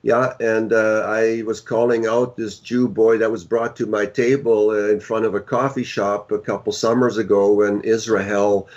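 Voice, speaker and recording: A man's voice: on the low side, little pitch movement, moderate pace, hardly any pauses, darkish tone, muffled recording. A middle-aged man with a powerful voice, speaking as a guest author in a casual panel discussion.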